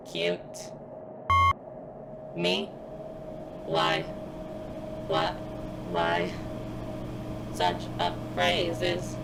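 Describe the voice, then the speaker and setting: An extremely deep, slow-speaking female surveillance robot, acted by a woman for an ASMR roleplay. She is formal and halting, with a vulnerable, flirtatious undertone and a strained edge as if in pain. Gravelly fry runs through the voice, over clearly audible background noise. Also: heavily acted. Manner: stilted, formal, halting phrasing with pained strain.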